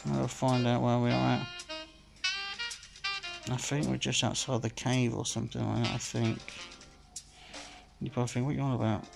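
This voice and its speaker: A man's voice: medium pitch, little pitch movement, normal speed, gravelly, pauses often. A man casually commenting while playing a slot game, rambling and filling gaps with "sort of" and "like I say", sounding a bit phlegmy. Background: game music.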